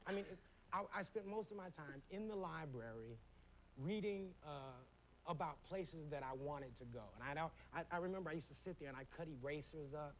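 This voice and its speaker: A man of fifty, a talk show guest, telling his story in a halting way and repeating words. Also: a little high. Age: 50s. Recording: noisy old TV studio audio.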